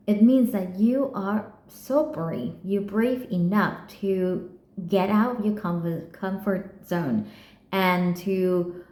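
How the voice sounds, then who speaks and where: A young Vietnamese woman in her twenties with a Vietnamese accent, speaking English as a second language in a reflective personal vlog, soft-spoken and halting, often restarting her sentences. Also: on the low side, roomy sound. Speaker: vlogger.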